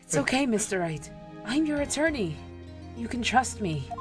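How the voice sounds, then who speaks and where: Woman acting an earnest, high-voiced young attorney. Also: big pitch swings, moderate pace, over music. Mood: earnest, pleading. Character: earnest young female defense attorney, indignant and loyal. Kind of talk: amateur video game voice-over.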